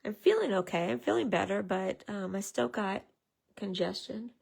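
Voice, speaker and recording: Woman, raspy from a cold, gravelly edge, casual tutorial, chatty with fillers. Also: medium pitch, moderate pace, a little mellow. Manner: chatty, greets viewers, many fillers. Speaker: craft demonstrator. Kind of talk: casual live craft tutorial.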